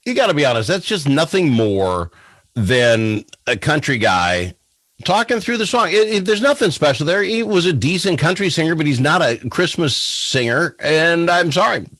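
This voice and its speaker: A man's voice: fairly high pitch, very animated, normal speed, a bit bright, no room sound. A man hosting a radio show with casual banter about songs, blunt and argumentative in his opinions.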